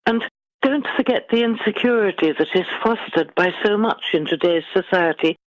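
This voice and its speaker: A middle-aged woman guest author speaking in measured, formal, articulate phrases in a literary interview. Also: medium pitch, no room sound. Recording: dull, lo-fi band-limited sound.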